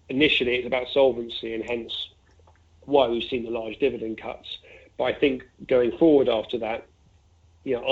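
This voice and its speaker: A man heard through a narrow, phone-like connection, speaking as a fund manager giving market commentary. His pitch is middling and stays fairly flat. He talks discursively and conversationally, working through his points aloud with asides and examples. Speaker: investment fund manager being interviewed. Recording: narrow, tinny phone-like line.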